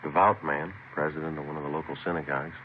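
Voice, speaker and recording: An American man playing a terse, businesslike detective sergeant in a 1950s radio crime drama, deadpan and clipped, on a noisy vintage recording. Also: very deep voice, quick pace, highs cut off. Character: terse, businesslike male police detective sergeant narrating his case.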